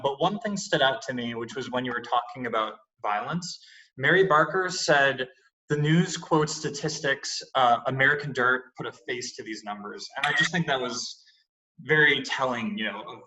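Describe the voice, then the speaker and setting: A man with a bright, forceful tone reads audience questions over a video call. He sounds slightly nervous and eager. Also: medium pitch, moderate pace, rough recording. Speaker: event producer reading audience questions.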